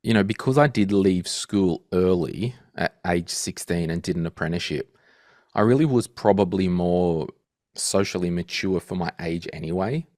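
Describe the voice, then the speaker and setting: A man in his thirties, a guest in a casual interview, telling animated stories and acting out quotes. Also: sits low, normal speed, no echo, clean studio sound. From Australia. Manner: animated storyteller, acts out quotes. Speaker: guest financial podcaster.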